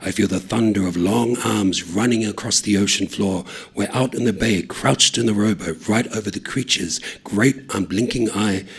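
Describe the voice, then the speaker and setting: Husky low-voiced man reading a story. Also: normal speed, few pauses. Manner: steady, low-key narration.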